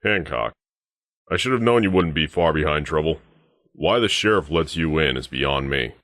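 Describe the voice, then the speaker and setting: An actor playing a stern, grim wasteland deputy sergeant in a video game, speaking in a terse, measured, guarded military way. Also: male voice, a bass voice, lively intonation, a bit bright, no room sound, studio quality, exaggerated voice. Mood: curt, wary. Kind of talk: scripted video game dialogue.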